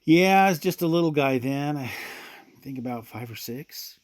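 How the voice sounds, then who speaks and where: A man's voice: mid-range voice, expressive, normal speed, no echo, studio quality, natural voice. An actor playing an easygoing male park ranger in an audio drama, talking in a conversational, somewhat hesitant way. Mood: hesitant, uneasy.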